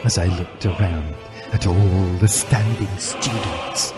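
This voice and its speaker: A man's voice: sits low, takes it slowly. A man giving a dramatic, mock-serious reading of comic fan fiction with theatrical emphasis. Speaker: reader. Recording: music behind the voice.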